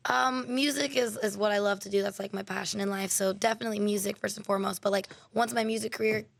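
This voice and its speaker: A woman in her twenties from Los Angeles, a guest rapper with a raspy voice that is partly worn out, giggling and saying "like" a lot in casual chat. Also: mid-range voice, fairly even intonation, quick pace. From Los Angeles, California. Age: 20s. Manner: giggly, lots of likes and ums. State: losing her voice. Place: radio studio. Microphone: studio mic with headphones.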